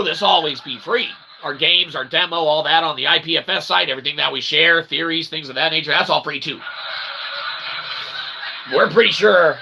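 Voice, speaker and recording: A man's voice: fairly high pitch, gravelly, bright, forceful tone, slight background noise, poor audio. A man hosting a livestream in a rambling monologue, wordy and mock-formal, repeating himself emphatically with tag questions. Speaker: livestream host and self-published author.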